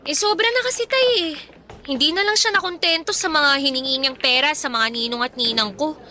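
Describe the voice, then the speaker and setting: A woman's voice: high voice, brisk, pushed and bright. A woman with a Filipino accent playing an aggrieved, pouty but respectful teenage daughter in a radio drama. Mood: exasperated. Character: aggrieved teenage daughter about to turn eighteen.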